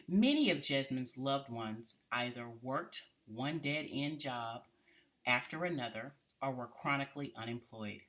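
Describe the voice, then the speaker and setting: An adult reading a biography aloud in a measured, deliberate way. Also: mid-range voice, pushed and bright.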